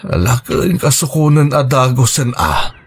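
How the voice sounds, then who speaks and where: A man's voice: medium pitch, expressive, measured pace, slightly rough. A man playing a weakened, worried husband in a radio drama, speaking with an Ilocano accent. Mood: worried, weary. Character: Roman, weakened husband recovering from stroke. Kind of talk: Filipino radio drama acting. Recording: music bed under dialogue. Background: music.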